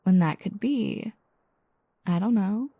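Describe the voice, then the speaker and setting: A woman playing a playful, teasing new girlfriend just waking up, speaking softly and intimately in a roleplay audio. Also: mid-range voice, no echo. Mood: mock bossy, teasing. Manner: soft, breathy asides, teasing, unhurried.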